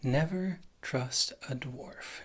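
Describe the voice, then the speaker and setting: A performer acting out a witch healer in an ASMR fantasy roleplay, low in pitch and very breathy, often dropping to a whisper. The witch talks slowly and haltingly, with dry disdain for adventuring customers. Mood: wry, unhurried. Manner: slow, halting, dryly disdainful. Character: witch healer, wry, condescending adventurers' shopkeeper.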